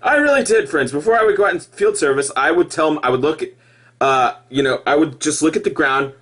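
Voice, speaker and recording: A man giving an impassioned personal monologue to viewers, halting and repeating himself, with emphatic stresses. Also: fairly high pitch, expressive, fairly bright tone, husky. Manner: halting, repetitive, with emphatic outbursts. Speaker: former Jehovah's Witness video blogger.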